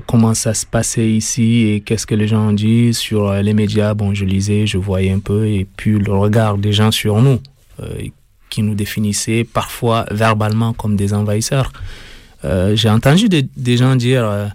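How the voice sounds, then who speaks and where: A man in his thirties from Sudan, a guest author speaking slowly and earnestly in a radio interview, with many pauses. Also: fairly low pitch, level tone, darkish tone, no echo. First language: Arabic. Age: 30s.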